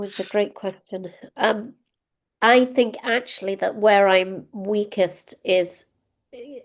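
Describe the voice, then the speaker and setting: A woman's voice: mid-range voice, gravelly, a little mellow. A woman giving a keynote, answering a question over a video call in a reflective, thinking-aloud way. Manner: reflective, self-critical, thinks aloud with ums. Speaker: keynote speaker.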